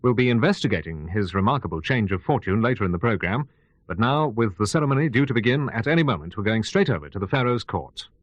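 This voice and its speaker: A man plays a radio news anchorman in a scripted news drama. His delivery is formal and announcer-like, with lively swings in pitch. The sound is dry and close, with little room around it. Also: fast, no echo, dull audio. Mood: formal, announcing. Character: radio news anchorman presenting ancient Egyptian news. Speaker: news presenter.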